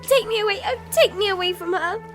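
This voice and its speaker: A woman's voice: extremely high pitch, a little fast. A woman playing a petulant little Victorian girl in a gothic audio drama. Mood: upset, defiant. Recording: music clearly playing behind voice.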